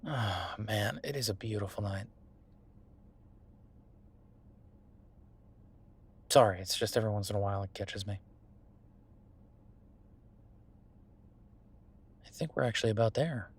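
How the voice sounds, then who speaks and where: A man's voice: on the low side, fast, slightly rough. A man playing a friendly, self-made CEO and old college friend in a roleplay audio drama, talking casually with stammers and self-deprecation. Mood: relaxed, reflective. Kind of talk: intimate one-sided roleplay audio drama, conversational.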